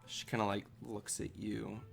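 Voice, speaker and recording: Gravelly-edged man narrating a tabletop game. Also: on the low side, little pitch movement, soft background music. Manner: casual, halting, filler-heavy. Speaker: game master.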